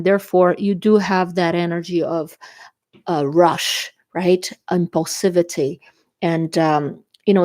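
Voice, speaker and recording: A woman's voice: on the low side, darkish tone. A woman from Brazil with a Brazilian Portuguese accent, whose native language is Portuguese, giving a chatty tarot reading to camera and often checking in with her viewers. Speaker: tarot reader.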